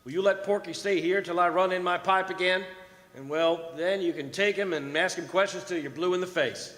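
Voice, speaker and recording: A man acts an oil crew boss in a live radio drama, practical and short on patience. The voice is notably high for a man, with bossy, plain-spoken delivery. It echoes through a reverberant hall. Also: fairly quick, a bit bright. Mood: persuading. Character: impatient, practical male oil drilling boss. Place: performing arts center stage.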